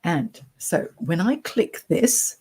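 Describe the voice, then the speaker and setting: A woman career coach with a soft voice, chatting her way through a screen-share tutorial on a computer mic. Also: on the low side, sing-song, slow pace, breathy edge. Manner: chatty, reassuring, talks through clicks.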